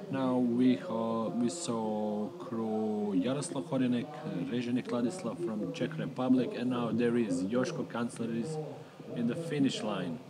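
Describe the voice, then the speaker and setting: A middle-aged man giving live race commentary in halting English, with many fillers and pauses. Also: fairly low pitch, rough recording.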